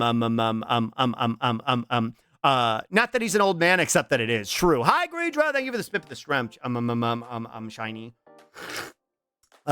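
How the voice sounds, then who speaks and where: A game streamer in his thirties with a somewhat high, bright, animated voice that swings widely in pitch. He chats casually with viewers, fills the gaps with long runs of drawn-out ums and swears freely. His voice is strained and cracking from allergies. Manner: long strings of drawn-out filler ums, profane and joking. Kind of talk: casual chat reading out viewer messages.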